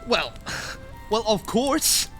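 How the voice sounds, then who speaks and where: A man's voice: high-pitched, sing-song, drawn-out words, fairly bright tone, soft background music, exaggerated voice, rough recording. An actor playing a cowardly, boastful Viking husband in a comic game cutscene, stammering through his bluster.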